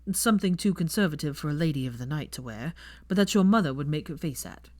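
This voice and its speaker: A woman's voice: sits low, expressive, fast, darkish tone, no echo. A woman voicing a dry, teasing young wizard man with a mocking, sweary drawl, in a story reading.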